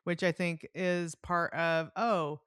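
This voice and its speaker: A woman's voice: on the low side, steady tone, takes it slowly, a bit bright, clean tone, no echo, studio quality. A middle-aged woman hosting a casual book-chat interview, talking in a chatty way, circling back mid-sentence and often laughing. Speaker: podcast host.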